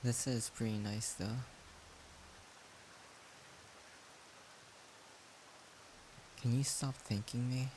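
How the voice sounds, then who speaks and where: A man playing a caring, shy young friend in an intimate audio roleplay, soft-spoken and hesitant as he gently reassures the listener. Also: medium pitch, fairly even intonation, normal speed, lightly acted, rough recording. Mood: tender, bashful. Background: rain-like noise.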